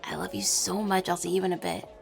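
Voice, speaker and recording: A woman playing an affectionate, hurried girlfriend in a werewolf pack roleplay audio drama. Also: on the low side, big pitch swings, vocal fry. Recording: music bed behind voice.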